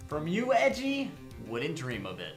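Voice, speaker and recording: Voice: mid-range voice, huge pitch swings, measured pace, over music. A performer playing a sarcastic, flippant male defense attorney in a comedic video game fandub, recorded with some room echo. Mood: wry. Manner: flippant, joking.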